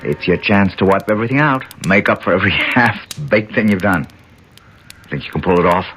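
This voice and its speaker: A man's voice: fairly low pitch, animated, fairly quick, fairly bright tone. An actor playing a wry, scheming tough-guy hero in a 1970s radio drama, teasing and slangy. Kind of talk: 1970s radio drama acting with first-person narration. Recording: 1970s radio broadcast, music behind.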